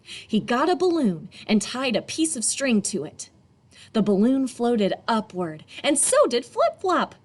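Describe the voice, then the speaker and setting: A woman reading a picture book aloud to children expressively, in a teacherly way, pausing for young listeners. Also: on the high side, very animated, darkish tone.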